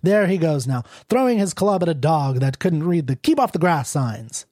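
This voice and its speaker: Broke, defiant male writer, sardonic and blunt, mellow tone, dry close sound, as a reader plays him. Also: medium pitch, big pitch swings, moderate pace, no echo, pitched above the performer's own voice. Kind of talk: audiobook character reading.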